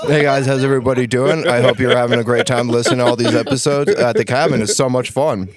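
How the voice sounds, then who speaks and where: A man's voice: mid-range voice, steady tone, quick pace. A man guest on a podcast at a cabin table, friendly and upbeat. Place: cabin, long table with family.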